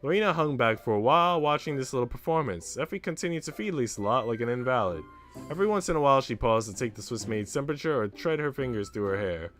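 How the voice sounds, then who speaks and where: A man streams a visual novel with music playing underneath. His pitch moves around in a lively way as he goes between narrating the story and chatting casually in slang, with some swearing. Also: quick pace. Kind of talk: casual livestream chat and narration.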